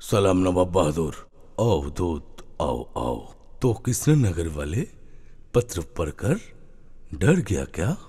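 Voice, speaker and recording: An actor playing commanding, theatrical royal men in a folk-tale cartoon. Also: male voice, sits low, big pitch swings, takes it slowly, warm tone, slightly rough, full character voice. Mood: haughty. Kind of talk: Hindi cartoon voice acting.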